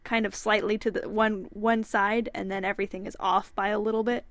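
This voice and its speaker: A woman's voice: mid-range voice, flat delivery, brisk, no room sound. Woman explaining film terms on a podcast. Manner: explanatory, laughs easily, hedging fillers. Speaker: podcast co-host. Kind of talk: casual podcast chat.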